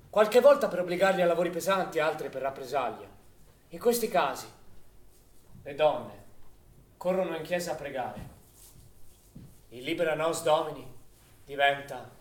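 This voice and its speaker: An actor playing a grave male chronicler, giving measured scripted narration in a documentary radio play. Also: a little high, close to an everyday voice. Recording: echoey room, distant from microphone.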